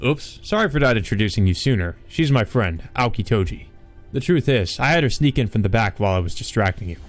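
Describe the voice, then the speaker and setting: A man's voice: fairly low pitch, expressive, fairly quick, faint music behind, close to an everyday voice. A man playing a confident, wry, tough male investigator from a visual novel in a casual, assertive manner. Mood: smug.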